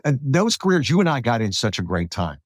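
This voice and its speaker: Middle-aged male radio-style host from South Alabama, lively pitch movement, casual and anecdotal, dry recording. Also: fairly quick, no room sound. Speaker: podcast host, radio broadcaster. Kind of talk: casual conversational interview.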